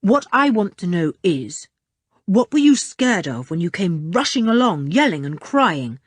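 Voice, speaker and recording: A woman reading a calm, stern older boy who takes charge, in a level, commanding, scornful way. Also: medium pitch, unhurried, breathy edge, dry recording, exaggerated voice. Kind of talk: audiobook character reading.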